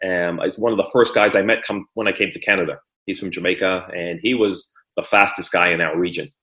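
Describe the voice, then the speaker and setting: Low-voiced middle-aged guy from guyana, raised in england, chatting as a podcast guest, stumbles and repeats words, roomy echo. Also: fairly even intonation, brisk, bright, forceful tone. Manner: easygoing, repeats words when starting sentences. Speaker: former Olympic hurdler and trainer, guest. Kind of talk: conversational interview. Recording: echoey room.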